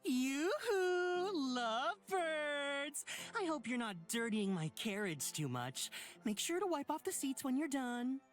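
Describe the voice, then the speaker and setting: High, cheeky, swooping mischief-maker. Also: female voice, very animated, takes it slowly, few pauses, faint music behind, heavily acted. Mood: gleeful teasing. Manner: sing-song, cheeky. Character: mischievous, teasing, childish male troublemaker. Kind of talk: visual novel voice acting.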